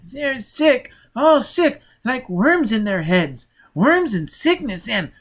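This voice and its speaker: High, whimpering, terrified little boy. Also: very animated, fairly bright tone, full character voice. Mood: anguished. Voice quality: whiny, pleading. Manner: weeping, halting, stammering. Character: frightened, sickly, wailing telepathic child, a boy.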